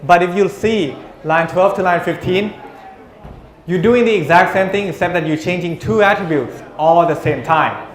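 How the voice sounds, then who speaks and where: A man's voice: high voice, normal speed, a little hiss or hum. A male university lecturer teaching a class, teasing students and calling on them by name. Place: lecture room. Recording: echoey lecture room.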